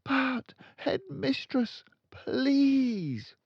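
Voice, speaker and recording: An earnest, anxious young schoolmistress from a children's story, played by a man. The voice sits high and moves up and down as she politely insists. The sound is dry and a little dull. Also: big pitch swings, drawn-out words, husky, dry recording, full character voice. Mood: pleading. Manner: pleading, polite but insistent. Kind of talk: children's audiobook character voice.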